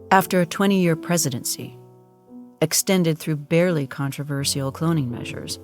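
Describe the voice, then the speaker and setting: A woman narrates an audio drama in a scripted storytelling style. Her voice sits very low for a woman, and faint music plays beneath her.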